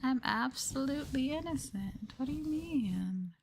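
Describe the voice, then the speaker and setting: A woman talking in a soft, breathy voice that often drops to whispers, playful and teasing, chatting casually as an ASMR host close to the mic. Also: high voice, lively intonation, unhurried, background noise. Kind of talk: soft-spoken ASMR, casual chat with viewers. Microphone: sensitive mic up close.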